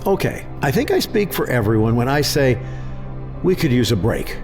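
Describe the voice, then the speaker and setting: A man's voice: mid-range voice, very animated, normal speed, over music, close to an everyday voice. A man playing an assertive, skeptical official in an audio drama.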